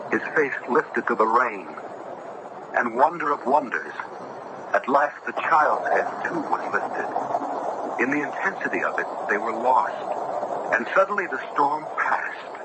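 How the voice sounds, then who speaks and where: An actor playing an earnest, grave judge in a 1970s radio drama, speaking with formal, dramatic diction. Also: male voice, mid-range voice, expressive, measured pace, natural voice. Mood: awed. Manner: oratorical, dramatic, formal old-fashioned diction. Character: earnest, grave young judge recounting a haunting. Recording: noisy old radio broadcast recording.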